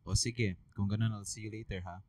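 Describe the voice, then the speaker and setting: A man playing a concerned, composed high school teacher in a Filipino radio drama. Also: steady tone, brisk, no echo, lightly acted. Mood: businesslike.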